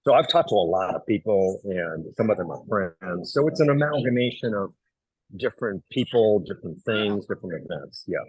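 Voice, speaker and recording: A man's voice: fairly low pitch, moderate pace, husky, no echo, poor audio. A middle-aged man from Hong Kong, an actor and writer, telling stories in a casual interview with lots of fillers and restarts. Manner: animated storyteller, many fillers and restarts.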